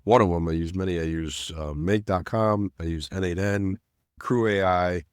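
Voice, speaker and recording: A middle-aged man with a slightly nasal voice from a cold, explaining a software setup in a loose, rambling way full of "you know" and restarts. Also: low voice, steady tone, moderate pace, clean tone, dry recording, clean studio sound. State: getting over a cold. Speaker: self-storage business owner teaching automation. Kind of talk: screen-share tutorial narration.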